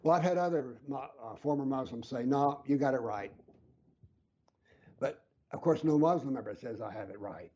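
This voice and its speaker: A man being interviewed who explains his views earnestly and emphatically, sometimes dropping to a low whisper to stress a point. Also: moderate pace, warm tone, husky, lo-fi sound. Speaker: interview guest, author.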